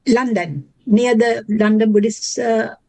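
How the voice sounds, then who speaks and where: Female class participant on a video call, dark mellow tone, hesitant and conversational. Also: on the high side, slow, gravelly, low-quality recording. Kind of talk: casual chat. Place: living in London, joining remotely.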